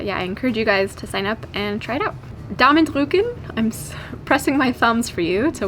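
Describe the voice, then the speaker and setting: Young american gal vlogging, bit high voice, giggly and chatty, faint rumble behind. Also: female voice. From California. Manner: chatty, giggly, lively storytelling. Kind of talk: casual chat to camera.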